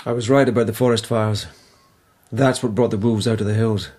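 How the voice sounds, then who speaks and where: Man as a husky, sceptical police lieutenant. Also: lowish voice, fairly even intonation, a little fast, a little mellow, close to an everyday voice. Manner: plain-spoken, questioning. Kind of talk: radio drama acting.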